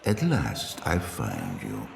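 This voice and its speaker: An actor playing a commanding, coldly accusing man in a game cinematic, speaking with measured mock courtesy in a gravelly voice. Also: rumbling low, wildly swooping pitch, full character voice. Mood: coldly sardonic. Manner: measured, theatrical, mocking courtesy. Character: menacing, commanding male visitor, coldly accusing. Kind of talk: dramatic game cinematic acting. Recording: echoey hall with long reverb.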